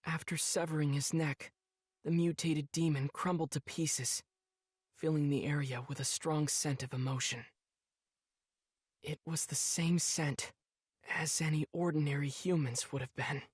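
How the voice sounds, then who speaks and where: A man's voice: fairly high pitch, steady tone, measured pace, husky, no room sound, clean studio sound. An actor playing an earnest, compassionate teenage boy swordsman who narrates his memories reflectively in a video game. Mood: somber. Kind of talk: scripted narration recounting memories.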